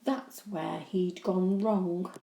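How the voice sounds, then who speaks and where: A woman reading a children's story aloud with animated, deliberate, expressive narration. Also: on the low side, gravelly. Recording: echoey room.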